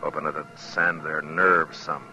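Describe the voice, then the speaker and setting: A man playing a stern, weary frontier marshal in a 1950s radio western, speaking in a terse, grave, deadpan way. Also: extremely low pitch, unhurried. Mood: brooding narration. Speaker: lead actor and narrator. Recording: muffled old radio recording with music.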